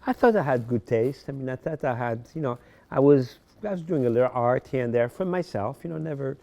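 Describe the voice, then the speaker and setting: A man in his sixties from Morocco, raised in France, telling his life story as a podcast guest in a halting way, often repeating words. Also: medium pitch, lively intonation, dark tone, dull audio. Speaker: podcast guest, fashion designer. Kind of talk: casual interview storytelling.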